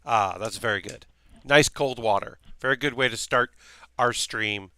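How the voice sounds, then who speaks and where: A man hosting a relaxed live stream while building a model kit, rambling casually with lots of fillers, his voice slightly worn. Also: mid-range voice, unhurried, no room sound. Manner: rambling, chatty, many fillers and restarts.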